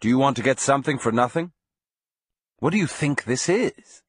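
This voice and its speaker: A man reading the part of a stern, blunt sorcerer teacher in a dramatized audio story, calm, direct and commanding. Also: medium pitch, normal speed, breathy edge, no room sound, studio quality. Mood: calm, scolding.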